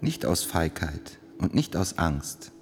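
An actor playing a terse, self-justifying defendant, speaking quietly and deliberately in a radio play. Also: male voice, sits low, sing-song, soft background music, full character voice. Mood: quiet self-justification. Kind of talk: radio play courtroom testimony.

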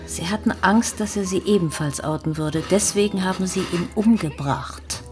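An actress playing a composed, probing police detective in a TV crime drama, with measured, insistent questions. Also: female voice, on the low side, a little mellow, soft background music, natural voice. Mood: accusing. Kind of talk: naturalistic German TV drama dialogue.

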